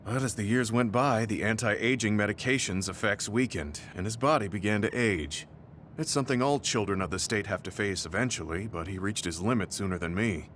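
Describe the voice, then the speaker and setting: An actor playing a reserved, old-fashioned veteran soldier in a video game, speaking in a measured, formal, serious manner. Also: male voice, fairly low pitch, fairly bright tone, a little hiss or hum, close to an everyday voice. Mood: somber, troubled. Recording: background music over dialogue.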